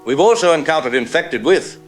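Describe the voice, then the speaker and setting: A man playing a shaken veteran Marine colonel, grave and halting, reporting from the field in an apocalyptic radio drama. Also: a little high, lively intonation, faint music behind, lightly acted. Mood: grim, haunted.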